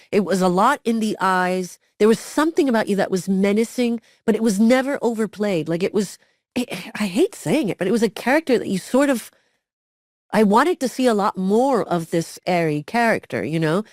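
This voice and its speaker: Woman, middle-aged, podcast co-host, storytelling, animated, dry close sound. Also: medium pitch, moderate pace, no echo. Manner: lively storyteller acting out quotes. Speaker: podcast co-host, former cast member. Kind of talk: casual conversational interview.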